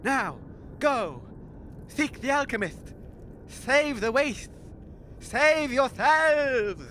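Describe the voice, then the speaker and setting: A man's voice: extremely high pitch, lively intonation, very slow, pushed and bright, slight background noise, heavily acted. Man acting a portentous, eccentric old-school hero. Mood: grave, urgent. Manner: portentous, dramatic, declamatory. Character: eccentric, theatrical inventor husband, grave and gallant hero. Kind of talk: audio comedy drama acting.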